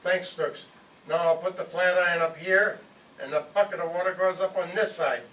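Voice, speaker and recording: A man's voice: sits high, fairly even intonation, moderate pace, fairly bright tone. A man playing a hot-headed, scheming father in an old-time radio comedy, pompous, indignant and theatrical. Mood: vengeful, bossy. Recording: roomy distant stage recording, faint noise.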